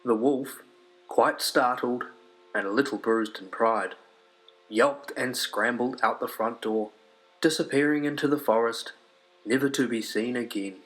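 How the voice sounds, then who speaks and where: A man's voice: medium pitch, a little slow, fairly bright tone, low-quality recording. A man reading fairy tales aloud as a scripted storyteller. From New Zealand. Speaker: storyteller, narrator. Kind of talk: scripted fairy-tale read-aloud narration. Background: music.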